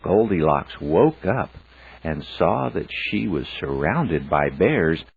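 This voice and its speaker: A man's voice: sits low, big pitch swings, slow, creaky, husky, dry recording. A man reading a fairy tale aloud as a scripted storyteller narration. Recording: phone-like, narrow band sound.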